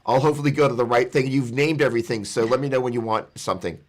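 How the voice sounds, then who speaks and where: Halting male video call host. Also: medium pitch. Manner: loose, hesitant, stops to think mid-sentence. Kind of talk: hosting a video call panel.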